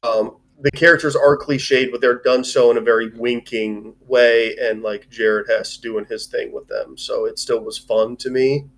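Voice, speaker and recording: Casual man chatting, rambling and halting. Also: mid-range voice, steady tone, rough recording. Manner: rambling, halting, often swearing. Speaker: movie review show host. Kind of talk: casual chat, film discussion.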